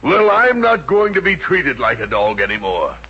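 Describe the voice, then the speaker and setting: An actor playing a meek, henpecked middle-aged American boss in a 1950s radio comedy, hesitant and stammering. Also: male voice, on the high side, big pitch swings, normal speed, slightly rough, heavily acted. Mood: agitated, resolute. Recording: muffled 1950s radio recording.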